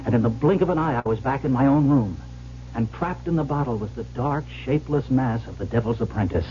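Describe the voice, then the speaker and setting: A man's voice: medium pitch, animated, brisk, mellow, faint music behind, natural voice. An actor playing a brash, carefree young heir who tells his own story in a radio drama, wry and breezy. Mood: wry, excited. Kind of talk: 1970s radio drama acting with first-person narration. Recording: dull old radio recording, faint hum.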